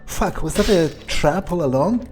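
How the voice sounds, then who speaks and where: A man's voice: a little high, lively intonation, unhurried, over music. A man playing a crude, cocky, irritable male vampire in a game, muttering profane asides to himself. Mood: puzzled musing. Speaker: game player in character. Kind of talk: improvised in-character game playthrough.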